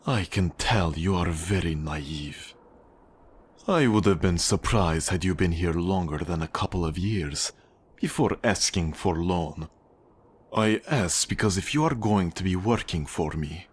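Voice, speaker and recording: A man playing a cold, manipulative Russian mafia boss in an ASMR roleplay, with a Russian accent, a husky low voice and a measured, condescending, menacing delivery.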